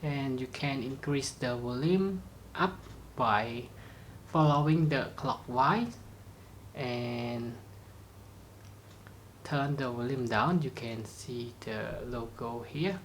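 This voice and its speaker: Slow-talking tutorial presenter with halting phrasing. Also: mid-range voice, slight background noise. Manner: simple, halting phrasing with non-native grammar. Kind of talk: step-by-step product demonstration.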